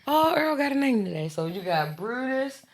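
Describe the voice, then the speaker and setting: A woman co-hosting a podcast, chatting animatedly with exclamations and quick laughs. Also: a little high, sing-song, a loud crowd. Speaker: podcast co-host. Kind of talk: casual chat.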